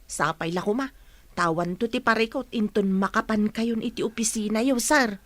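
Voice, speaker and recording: High-pitched, concerned female helper acted by a woman. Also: moderate pace, no echo, lightly acted. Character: caring, questioning female household helper to her boss. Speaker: voice actor in audio drama. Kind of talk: Ilocano audio drama acting.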